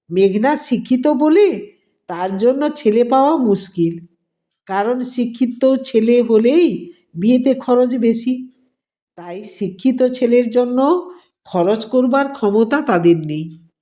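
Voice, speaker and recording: A woman reads a dramatic story aloud. Her voice sits on the high side, yet it is soft-edged and mellow. She takes her time, shaping each literary phrase with measured expression. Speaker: storyteller narrating own written story. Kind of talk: scripted dramatic story narration.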